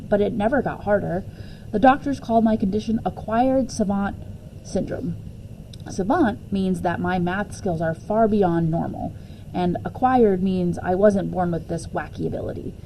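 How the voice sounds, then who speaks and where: A woman's voice: medium pitch, moderate pace, dark tone, slight background noise, rough recording. A woman reading a children's novel aloud expressively in the first person, as a storyteller. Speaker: teacher reading a book aloud.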